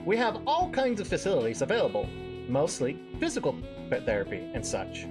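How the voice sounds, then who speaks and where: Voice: mid-range voice, huge pitch swings, brisk, music in the background, pitched above the performer's own voice. A performer voicing a friendly young male nurse in a visual novel, breezy, welcoming and jokey. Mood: chipper, brisk.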